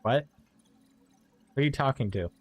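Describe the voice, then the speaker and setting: A man's voice: medium pitch, animated, normal speed, soft background music. A man streaming a game with casual playthrough commentary, muttering wry asides to himself.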